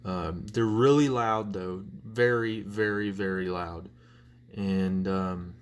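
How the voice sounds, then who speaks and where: A young man with a fairly low voice talks at a very slow pace. He rambles casually and bluntly, poking fun at himself, like a hobbyist showing off a collection in a vlog. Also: steady tone, clear, resonant tone. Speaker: collector presenting weather radios. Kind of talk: casual show-and-tell vlog.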